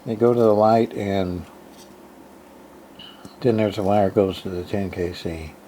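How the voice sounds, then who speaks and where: A man casually narrating hands-on repair work, thinking aloud in a rambling, halting way and muttering to himself. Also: low voice, warm tone. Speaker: hobbyist radio repairer. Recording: clear background noise, room ambience.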